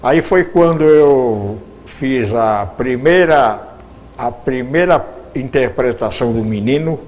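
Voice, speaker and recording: A man's voice: mid-range voice, expressive, slow pace, darkish tone. An older man, recalling his years in radio drama in an interview, often stumbling and repeating words. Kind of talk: reminiscing interview answers.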